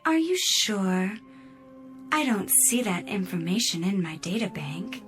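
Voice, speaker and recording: An actress playing a polite, solicitous spaceship computer in a sci-fi radio drama, measured and literal-minded with a needling edge. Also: female voice, medium pitch, big pitch swings, a bit bright, soft background music. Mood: mock-innocent.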